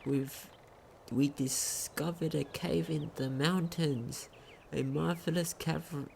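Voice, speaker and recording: Gaming commentator, slow pace, gravelly creak, casual read-aloud delivery, slight stumbles, faintly noisy home recording. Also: medium pitch, steady tone, darkish tone. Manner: stumbles over words when reading aloud. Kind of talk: casual game commentary and reading in-game text aloud.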